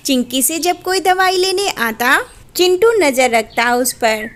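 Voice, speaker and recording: A woman narrating a children's cartoon story in an even storybook telling. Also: sits high, a little slow.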